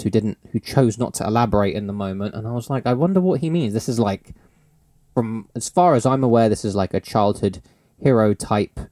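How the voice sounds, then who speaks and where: A man's voice: mid-range voice, mellow, no room sound, clean studio sound. A man, hosting a podcast in a rambling, teasing, laugh-filled chat. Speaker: podcast host.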